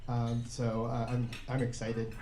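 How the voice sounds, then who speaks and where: An American man giving informal, earnest answers on a panel in a halting way. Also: mid-range voice, monotone. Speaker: newly elected state senator, panelist. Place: indoor event room. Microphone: shared event microphone. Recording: echoey room, faint background noise.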